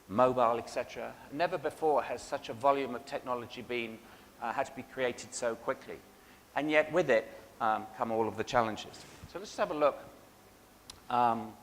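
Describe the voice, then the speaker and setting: An Englishman gives a conference keynote in a measured way, with frequent ums and small restarts. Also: medium pitch, fairly bright tone. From England. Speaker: keynote speaker. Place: large conference hall. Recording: long hall reverb, faint background noise.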